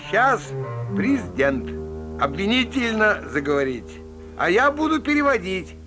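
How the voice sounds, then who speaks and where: Lively, high-pitched folksy storyteller. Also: male voice, measured pace, music in the background. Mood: wry. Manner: theatrical, playful, rustic folk-tale delivery. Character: folksy male storyteller of a Russian comic fairy tale. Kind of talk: scripted fairy-tale narration.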